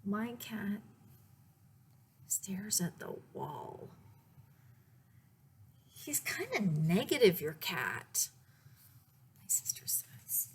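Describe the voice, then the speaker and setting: A woman reading a picture book aloud for story time in a deliberate, expressive way, pausing to speak to her young listeners. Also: medium pitch, slight background noise. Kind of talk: read-aloud children's storytime, with asides to listeners.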